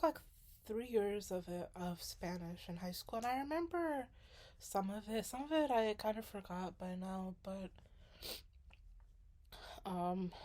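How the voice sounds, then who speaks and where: A young woman from Sudan talks casually about her studies. She rambles, restarts her sentences often and leans on fillers. Her voice sits at a middle pitch. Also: darkish tone. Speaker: graduate student. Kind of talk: casual chat.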